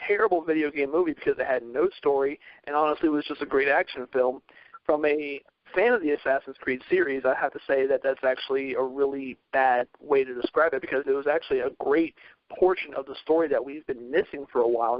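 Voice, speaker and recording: A man's voice: medium pitch, steady tone, fairly quick, dry recording, highs cut off. A man giving his opinions as a panel guest, casual, explanatory and long-winded.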